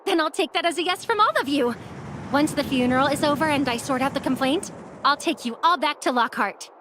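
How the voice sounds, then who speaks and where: An earnest young emissary acted by a woman speaks in a fairly high voice, as if every prophecy matters. The delivery is expressive anime game acting, with faint noise behind it. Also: a little fast, lightly acted. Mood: eager.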